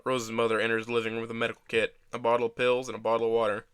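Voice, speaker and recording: Man playing a crisp, deadpan young male narrator. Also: mid-range voice, very fast, close to an everyday voice. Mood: descriptive. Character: self-deprecating injured teenage boy narrating his story. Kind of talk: first-person scripted narration.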